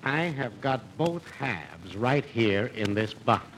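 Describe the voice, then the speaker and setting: Old radio comedy: sly crackpot schemer, confiding pitchman, wildly swooping delivery, slight background noise. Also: sing-song, slow, fairly bright tone. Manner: sly, hesitating, confiding salesmanship. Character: Dave, breezy smooth-talking schemer with crackpot money plans. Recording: faintly noisy old radio recording.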